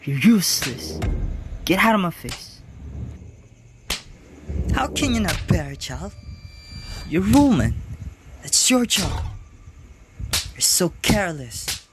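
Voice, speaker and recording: A man's voice: high voice, big pitch swings, takes it slowly, long pauses, full character voice. A man playing a domineering, abusive husband in an amateur radio drama. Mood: angry, scornful. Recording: faint background noise.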